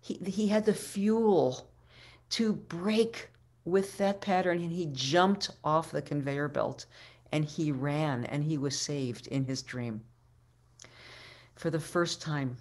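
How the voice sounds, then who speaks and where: A woman in her sixties giving a keynote talk as a storyteller, deliberate and dramatic, with pauses and repetition, speaking over a video call from home. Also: low voice, a little mellow. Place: living room at home, indoors. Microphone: webcam video call microphone.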